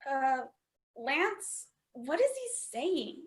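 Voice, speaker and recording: A woman playing a perky, excitable, naive space scientist in a campy sci-fi radio play. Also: high voice, very slow, heavily acted. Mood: bewildered.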